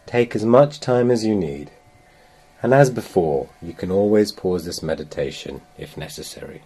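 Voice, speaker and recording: Man, guided meditation, deep voice, soft husky texture, gentle, measured, long pauses. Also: animated. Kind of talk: guided meditation, slow soothing instruction.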